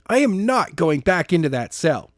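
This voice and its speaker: A man's voice: fairly high pitch, very animated, moderate pace, dry recording, studio quality, pitched above the performer's own voice. A man reading a stubborn young hero. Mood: insistent. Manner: insistent, defiant. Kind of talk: amateur audiobook reading.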